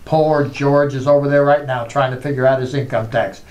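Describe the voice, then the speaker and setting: A man's voice: mid-range voice, fairly even intonation, normal speed, darkish tone, muffled recording, close to an everyday voice. A man plays a grumbling husband from a radio sitcom. He sounds dry and sarcastic, complaining in wisecracks. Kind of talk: old-time radio comedy acting.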